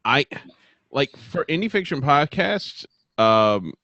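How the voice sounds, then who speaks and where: An American man chatting casually as a podcast host, halting and saying "like" often. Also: a little high, very animated, bright, forceful tone, no echo. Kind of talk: casual chat. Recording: dull, roomless dry lo-fi sound.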